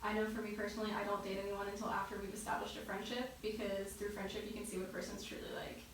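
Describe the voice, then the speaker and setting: A young woman answers interview questions in a conversational way. Her middle-pitched voice comes from a distance in a very echoey room, with faint noise underneath. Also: little pitch movement, very fast.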